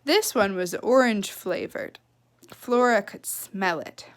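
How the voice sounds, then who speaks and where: A woman reading a children's novel aloud with expressive, emphatic phrasing and casual asides. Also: medium pitch, takes it slowly. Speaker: read-aloud storyteller.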